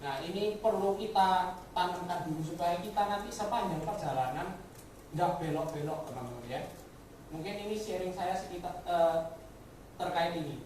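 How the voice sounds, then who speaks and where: A woman's voice: on the low side, normal speed, darkish tone. A woman giving a motivational business talk to colleagues, often addressing listeners directly with rhetorical questions and dropping in English words. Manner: mixes English words into speech. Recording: very echoey room, distant mic, faint noise.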